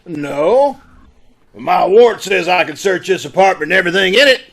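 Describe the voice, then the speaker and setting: Gravelly, sardonic police inspector, played by a man. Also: high-pitched, lively intonation, moderate pace, fairly bright tone, full character voice. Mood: searching, insistent. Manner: sardonic, accusing. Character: gruff, suspicious male police inspector searching an apartment. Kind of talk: old-time radio drama acting. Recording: faintly noisy video call recording.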